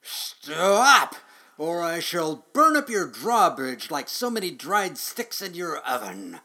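A rumbling storybook dragon played by a man, greedy and bossy. He draws his words out slowly with relish, in a growly mumble made for a creature that lives to eat. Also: big pitch swings, gravelly, bright, forceful tone, heavily acted. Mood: menacing, demanding.

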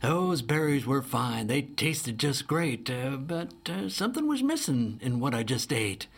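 Man voicing a sly grinning bear, rhyming, unhurried, husky. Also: mid-range voice, sing-song, full character voice. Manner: slow, sly, speaks in rhyme. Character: sly smiling male traveling bear, rhyming.

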